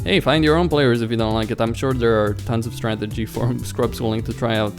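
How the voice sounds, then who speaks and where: A man's voice: medium pitch, fairly even intonation, a little fast, close to an everyday voice. Man voicing a blunt, easygoing gamer captain over music. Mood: dismissive. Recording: music behind the voice.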